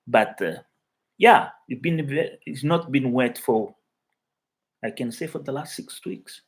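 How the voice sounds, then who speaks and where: Man from Mozambique, Chuabo first language, live stream host, halting casual chat, many fillers, talking from home. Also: medium pitch. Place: indoors in his living room in Europe.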